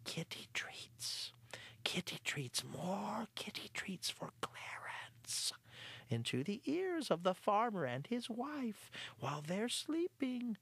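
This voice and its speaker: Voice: medium pitch, big pitch swings, a little slow, hardly any pauses, no echo, voice pushed up. A narrator doing a sly, spoiled fat house cat. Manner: sly, smug, whispery asides.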